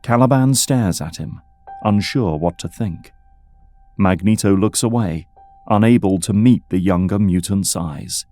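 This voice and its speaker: A man's voice: low-pitched, unhurried, dark tone, dry recording. A man delivering scripted dramatic narration as the narrator of an audio drama. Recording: music under the voice.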